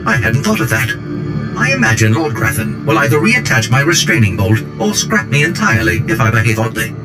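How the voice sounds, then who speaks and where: A man's voice: fairly low pitch, monotone, a little fast, close to an everyday voice. A man playing an anxious protocol droid in a video game, with fussy, exclamatory and very formal speech. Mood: dithering, resigned. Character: fretful, prim, anxious protocol droid servant. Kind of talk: video game character acting. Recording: loud music underneath. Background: loud game music.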